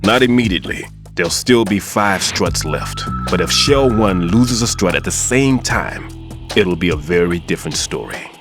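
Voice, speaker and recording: A man playing a grave, remorseful middle-aged bomb disposal veteran in a video game cutscene, speaking in a solemn, deliberate way. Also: low-pitched, expressive. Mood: grave, technical. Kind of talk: dramatic game cutscene acting. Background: background music.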